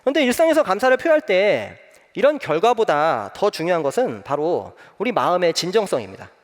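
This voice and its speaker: A young man preaching a sermon as pastor, telling a personal story with many pauses and filler sounds. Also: high-pitched, sing-song, in a large hall. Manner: gentle, frequent "eo" fillers, warm storytelling.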